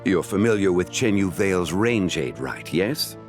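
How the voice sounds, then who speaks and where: A courteous, learned gentleman in a video game. His voice is very low, with expressive rises and falls. He speaks formally and explains things with composure, over soft music. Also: normal speed. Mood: calm, instructive. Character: composed, erudite, courteous gentleman scholar of antiquities. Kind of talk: video game character dialogue.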